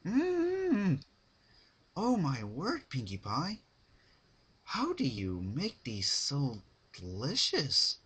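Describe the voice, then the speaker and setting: A man's voice: a little high, wildly swooping pitch, drawn-out words, pauses often, a bit bright, breathy edge, pitched above the performer's own voice, full character voice. A man voicing a refined, ladylike female unicorn pony in a cartoon fan fiction reading, genteel, affected and a little hesitant. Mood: delighted.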